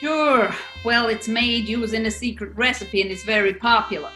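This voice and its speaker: A woman's voice: fairly high pitch, normal speed, pushed and bright, soft background music. A woman playing a bossy male gangster club owner with a New York accent, menacing yet genial, in a 1940s radio comedy. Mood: smooth, genial. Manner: bossy, smooth-talking. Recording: echoey room.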